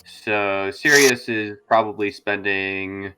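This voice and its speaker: A man's voice: fairly low pitch, steady tone, slow pace, sharp tone, clean tone, poor audio. A man taking part as a roleplaying player, casually and tentatively thinking aloud about his character's actions.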